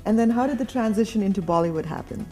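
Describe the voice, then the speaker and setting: A woman's voice: medium pitch, expressive, fast talker, darkish tone, faint music behind. A woman hosting an entertainment interview, prompting and complimenting her guest. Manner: encouraging, complimentary. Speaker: interviewer host.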